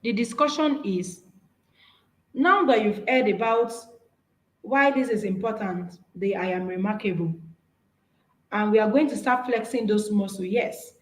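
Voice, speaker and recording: A woman's voice: mid-range voice, measured pace, long pauses, roomy sound, poor audio. A woman with a Nigerian accent, whose first language is Yoruba, giving an earnest, encouraging workshop talk with slides, often restarting her phrases. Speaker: speaker.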